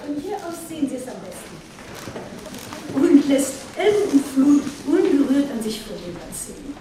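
A woman's voice: sits high, sing-song, measured pace, warm tone. A woman playing the host of a seaside retreat in a radio play, explaining things in a musing interview style. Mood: explanatory, musing. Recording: very echoey, distant, faint noise.

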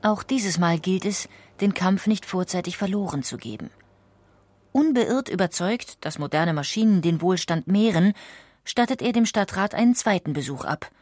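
Female narrator, lower register, measured and factual documentary read, dry studio sound, faint music. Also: lively intonation, a little mellow, no room sound.

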